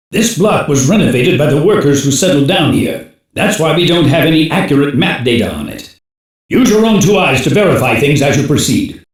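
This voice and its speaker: Stern older security chief, slightly high-set male voice, terse formal orders, anime game dub, heavy room echo. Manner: terse, authoritative, formal briefing delivery. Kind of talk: English-dubbed anime game voice acting. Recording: very echoey, reverberant game audio.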